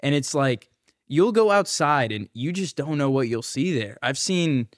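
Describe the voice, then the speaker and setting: A young man in his twenties chatting as a podcast guest in a relaxed, halting way. His voice sits in an ordinary middle range. The recording is dry and close. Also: medium pitch, dry recording. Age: 20s. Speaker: youtuber guest. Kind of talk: casual chat.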